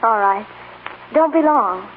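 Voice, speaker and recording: A woman's voice: a little high, expressive, slow pace, narrow, tinny audio. A young film star wife in a 1940s radio melodrama. She is heartfelt, earnest and self-sacrificing. The old broadcast sounds thin and noisy. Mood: tender, teasing.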